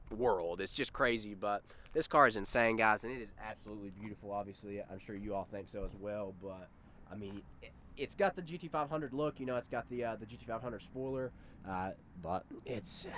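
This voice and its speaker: A young man hosting a casual car vlog. He sounds a little congested and talks in an excited, rambling way, first inside a car and then outdoors, into a handheld camera held at arm's length. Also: fairly low pitch, slight background noise. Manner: excited, rambling, addresses viewers as guys. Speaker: car vlogger host.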